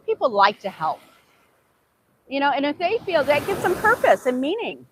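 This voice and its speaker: A woman's voice: sits high, a bit bright, slight background noise, poor audio. A woman from the United States whose first language is Hungarian, giving an informal motivational talk in an earnest way, repeating phrases and posing questions. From United States, Hungarian immigrant family. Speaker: English teacher giving a motivational self-improvement talk.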